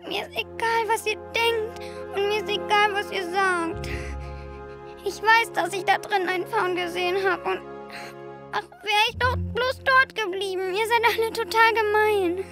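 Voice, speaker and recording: A very high-voiced, earnest little girl. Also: a little slow, music in the background. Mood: hurt, indignant. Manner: excitable, insistent. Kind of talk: German audio drama acting.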